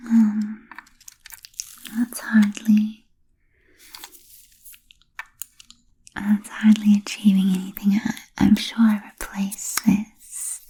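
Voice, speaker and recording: A woman voicing a genteel, ancient female vampire in a whispered ASMR roleplay, speaking softly and breathily with halting, formal, fussy phrasing. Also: medium pitch, a little hiss or hum, lightly acted. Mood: puzzled, tentative. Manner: halting, hesitant, formal old-fashioned phrasing.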